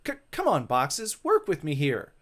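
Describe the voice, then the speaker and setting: Man acting as young woman, high voice, perky, gushing, visual novel character. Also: huge pitch swings, measured pace, a bit bright, heavily acted. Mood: excited.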